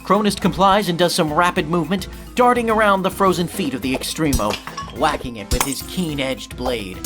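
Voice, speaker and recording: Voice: mid-range voice, expressive, moderate pace. A narrator delivering dramatic scripted narration in a vivid, theatrical manner for an audio drama. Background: music.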